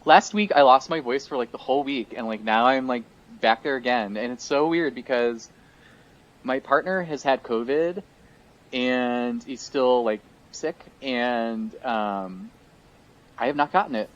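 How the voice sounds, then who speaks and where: A man of ordinary middle pitch co-hosts a relaxed podcast chat, his voice worn as he is losing it. He rambles along with plenty of fillers and restarts. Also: a little slow, highs cut off. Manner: chatty and rambling, many fillers. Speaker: podcast co-host. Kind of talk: casual chat.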